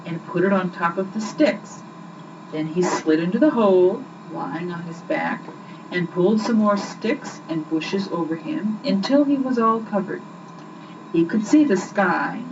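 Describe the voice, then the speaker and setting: Young female storyteller, low-leaning voice, expressive read, dull amateur recording with clear background noise. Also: far from the mic. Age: young adult. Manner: expressive, acts out the dialogue. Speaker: storyteller reading to children. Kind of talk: scripted children's story narration. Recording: muffled, noisy old amateur home recording.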